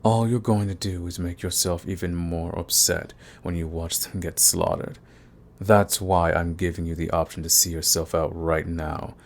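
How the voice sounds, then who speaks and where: A man playing a cocky, menacing villain in an audio roleplay, taunting the listener with smug, unhurried threats. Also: sits low, gravelly. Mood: calm threatening. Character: cocky, menacing male villain, coolly fond of his enemy. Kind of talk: ASMR roleplay acting, addressing the listener.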